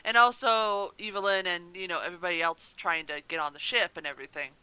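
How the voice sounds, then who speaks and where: A podcast co-host chatting casually and playfully while recapping an audio drama, swearing freely and getting excitable. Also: medium pitch, fast, clear, resonant tone, no echo, rough recording.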